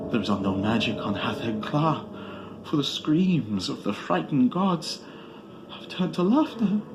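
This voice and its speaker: A man voicing a proud, wild mystic in a grandiose, shrill horror-story declamation, shrieking out incantations. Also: heavily acted, poor audio. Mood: feverish awe. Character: proud shrieking mystic climber, exultant turning to terrified. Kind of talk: theatrical horror storybook reading. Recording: music behind the voice.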